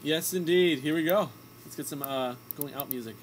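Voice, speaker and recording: A man's voice: on the high side, normal speed. A young man co-hosting a radio show, chatting casually about movies with opinionated, jokey banter. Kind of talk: casual movie review chat. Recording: amateur recording with background noise.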